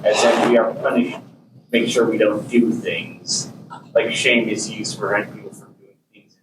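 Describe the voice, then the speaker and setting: Distant student in an echoey noisy room. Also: male voice, fairly low pitch, little pitch movement, moderate pace. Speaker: student asking a question. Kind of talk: classroom question.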